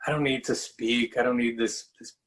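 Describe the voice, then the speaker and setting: A man hosting an interview, asking questions casually and haltingly, with fillers and restarts. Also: medium pitch, steady tone, fairly bright tone. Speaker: interviewer, show host.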